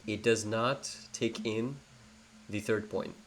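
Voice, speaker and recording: Young guy w/ english accent explaining trading charts live, lowish voice, kinda rambly, faint hiss behind. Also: slow. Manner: rambling, self-correcting, many fillers. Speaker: trading educator. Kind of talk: live screen-share trading tutorial. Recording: faint background noise.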